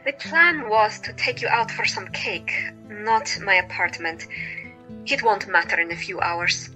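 A woman's voice: mid-range voice, a little slow, sharp tone, soft background music, poor audio. An actress playing a joyous, caring woman doctor in a period audio drama, cheerful and coaxing. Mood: friendly, reassuring.

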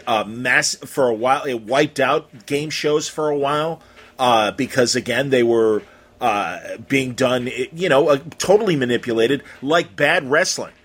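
Husky-voiced man hosting, rambling and effusive. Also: mid-range voice, a bit bright. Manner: effusive, rambling, stumbling over phrases. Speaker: interview host. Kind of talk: casual conversational interview.

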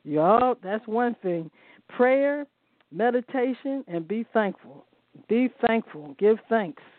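A woman's voice: a little high, animated, slow pace, dry recording. Woman, host, reading aloud with commentary, folksy and eager, churchy asides, tinny phone sound. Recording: tinny phone-like line, dry.

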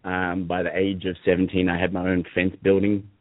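A man's voice: deep voice, fairly quick, no echo. A man with an Australian accent that has some American and South African mixed in, a fitness business coach chatting as a guest and laughing easily. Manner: relaxed, laughs easily, many fillers. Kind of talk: casual interview chat. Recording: dry, tinny phone-like line.

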